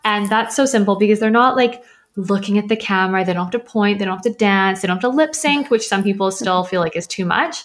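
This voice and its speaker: Chatty woman with a mid-pitched voice. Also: fast. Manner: chatty, heavy use of "like". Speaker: social media business founder. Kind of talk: casual podcast interview.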